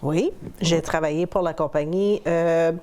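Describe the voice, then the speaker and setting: A woman's voice: deep voice, fairly even intonation, measured pace, mellow, a little hiss or hum. A middle-aged woman from Ontario, a new co-host giving short, hesitant answers with fillers. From Ontario, Canada.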